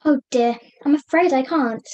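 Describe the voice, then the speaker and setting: A woman's voice: high voice, moderate pace, a little mellow, dull audio, natural voice. A young woman acting a polite, flustered puppet who chairs a meeting in an amateur puppet show. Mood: orderly, hesitant.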